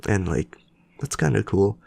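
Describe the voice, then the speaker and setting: Low gravelly man with a stuffy nose. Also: expressive, measured pace, dark tone. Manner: rambling, many fillers and restarts. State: sick with a cold. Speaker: podcast host. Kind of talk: casual solo podcast chat.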